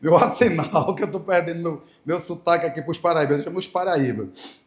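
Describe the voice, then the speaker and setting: A man imitating a boisterous man with a Rio de Janeiro accent who shouts down the phone in a slangy drawl. He is loud and jokey and stretches his vowels. The voice sits a touch high. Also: a little fast, echoey room, close to an everyday voice. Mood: joking.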